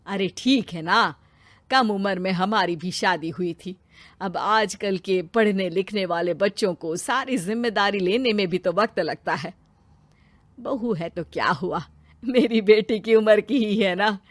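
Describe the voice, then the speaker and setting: A woman's voice: medium pitch, measured pace, dry recording, lightly acted. A woman voicing a kind, self-sacrificing middle-aged mother-in-law in a moral story, motherly and reassuring. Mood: easygoing, reassuring.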